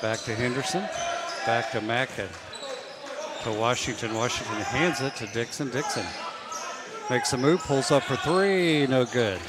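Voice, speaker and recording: A man giving rapid, clipped play-by-play commentary on a basketball game. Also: mid-range voice. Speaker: play-by-play announcer.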